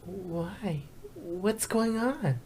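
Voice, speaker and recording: A woman voicing a timid young cartoon pony mare, soft, breathy and hesitant, almost whispering. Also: mid-range voice, expressive, drawn-out words, pitched below the performer's own voice, full character voice. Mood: timid, anxious. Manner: hesitant, stammering.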